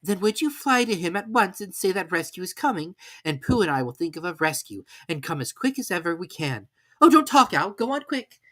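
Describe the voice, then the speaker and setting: An eager young boy from a children's storybook, acted out by a narrator. The voice is lifted high and moves freely up and down, childlike, fond and earnest. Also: big pitch swings, fairly quick, a bit bright. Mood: urgent.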